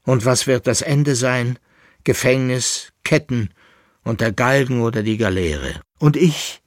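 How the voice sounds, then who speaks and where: A man's voice: on the low side, expressive, a little slow, breathy edge, no room sound, clean studio sound. A man voicing a distraught fugitive in an audiobook story, wailing and agitated, full of exclamations and pleading questions. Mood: despairing.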